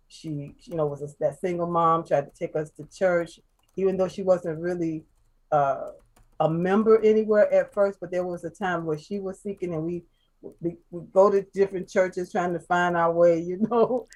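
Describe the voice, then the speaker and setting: A woman from Chicago, a guest telling her life story in casual chat, soft-spoken and halting, with many fillers and restarts. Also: deep voice. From Chicago, USA.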